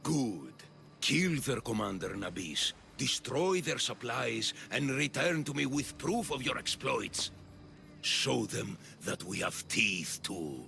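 An actor playing a scheming, grandiose populist politician of ancient Athens in video game dialogue, with a gravelly, oratorical delivery. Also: male voice, mid-range voice, big pitch swings, slow, sharp tone, a little hiss or hum, exaggerated voice. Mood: rousing, commanding.

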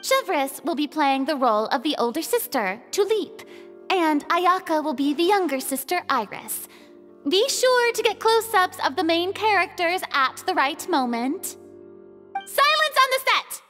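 A woman's voice: very high voice, big pitch swings, measured pace, pushed and bright, big-room sound, faint music behind, full character voice. A woman voicing a theatrical, commanding young film director in a video game, with dramatic, grand delivery. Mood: excited, commanding.

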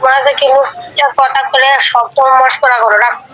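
A woman's voice: high voice, little pitch movement, brisk, a bit bright. A woman with a rural eastern Bengali dialect in a casual domestic phone chat, complaining and arguing back, recorded over a call. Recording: phone call with faint noise.

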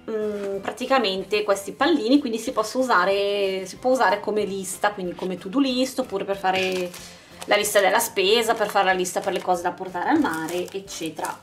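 A woman with a mid-pitched voice narrates a craft unboxing in a casual, informal style. She presents things item by item as the channel's host. Music plays behind her voice. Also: normal speed. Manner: informal, showing items one by one. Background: background music.

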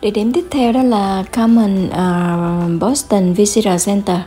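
A woman with a southern Vietnamese accent narrating an informal travel guide as a vlogger, often pausing mid-phrase. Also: medium pitch, steady tone, dark tone, faint music behind.

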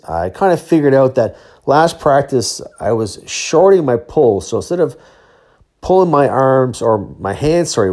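A man's voice: mid-range voice, darkish tone. A man of about fifty giving a casual, matter-of-fact voice-over as an athlete on a training vlog. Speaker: athlete vlogger, Highland games thrower.

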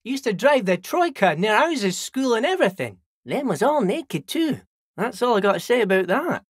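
A man's voice: sits high, very animated, moderate pace, husky, no echo, studio quality, full character voice. An actor playing a friendly, simple-minded old dockworker in a video game, folksy and rambling, repeating himself as he chats. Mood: friendly, chatty. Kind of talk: video game character dialogue.

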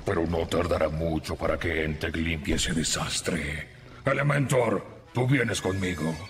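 Deep, gravelly, gloating robot villain. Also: male voice. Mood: commanding. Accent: Latin American. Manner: slow, commanding, gloating. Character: scheming, gleeful elemental robot villain, huge and imperious. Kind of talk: amateur Latin American Spanish cartoon dub.

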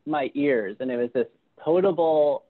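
A man's voice: fairly high pitch, moderate pace. A man in his thirties asking casual, encouraging interview questions through an earbud headset, with muffled phone-like call audio. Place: plain room indoors. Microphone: earbud headset on a video call.

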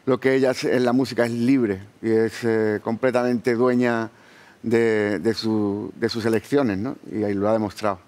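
A middle-aged man coaching on a TV talent show, giving halting, heartfelt spoken praise in a large studio. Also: fairly low pitch, flat delivery, a little mellow. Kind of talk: casual spoken feedback.

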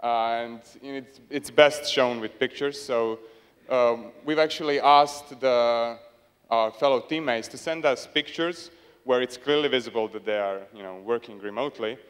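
A man's voice: mid-range voice, normal speed, smooth. A young man presenting on a conference stage with a handheld mic, dryly humorous and hesitant, with plenty of fillers. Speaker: conference speaker. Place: large conference hall stage. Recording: long hall reverb.

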